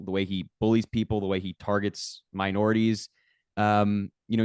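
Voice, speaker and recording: A man's voice: sits low, dry recording, clean studio sound. A young man in his twenties who grew up in Ireland, answering interview questions earnestly with plenty of fillers. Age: 20s. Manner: earnest, many fillers like "like" and "you know". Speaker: guest, young political activist.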